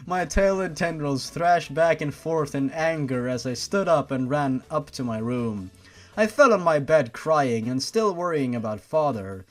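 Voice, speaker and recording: A man reading a story aloud in a mocking, theatrical way, breaking off into sarcastic, foul-mouthed asides. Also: fairly high pitch. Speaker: host reading and mocking fan fiction. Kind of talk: mocking dramatic read-aloud with ranting asides.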